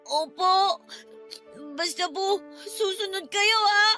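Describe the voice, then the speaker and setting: A woman's voice: extremely high pitch, slow pace, sharp tone, faint music behind, heavily acted. A woman playing a sweet, anxious young niece in a radio drama, speaking politely and pleadingly. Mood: reluctantly obedient. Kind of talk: Tagalog radio drama acting.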